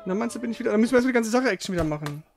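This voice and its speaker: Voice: mid-range voice, animated, very fast, vocal fry, a little mellow. Streamer thinking aloud over game music. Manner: thinks aloud, asks questions while playing. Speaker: streamer playing a video game. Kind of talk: casual live game commentary.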